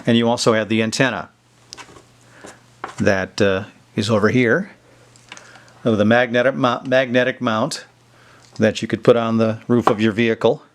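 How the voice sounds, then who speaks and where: A man's voice: medium pitch, fairly even intonation, moderate pace, pauses often. A man hosting a casual product demonstration video, explaining things in a folksy, informal way. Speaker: video host showing vintage electronics. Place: indoors in a basement.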